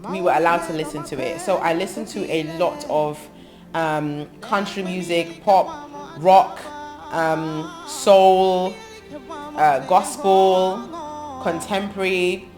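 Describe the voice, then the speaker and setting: A woman's voice: on the low side, steady tone, slow pace. A woman in her thirties from England, a gospel singer, talking thoughtfully about her life in an interview, listing things with frequent ums. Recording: music playing under her voice. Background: background music.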